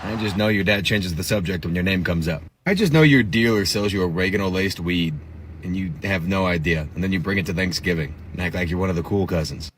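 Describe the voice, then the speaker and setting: A deep-voiced man rattles off mocking lines like a comic monologue. His manner is taunting and sneering. Faint noise sits behind him. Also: brisk.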